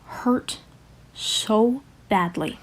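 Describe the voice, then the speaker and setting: Woman, very slow, husky whispery edge, earnest voice message, halting, deliberate, formal wording. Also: mid-range voice, lively intonation. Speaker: voicemail sender. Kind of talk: earnest recorded complaint message.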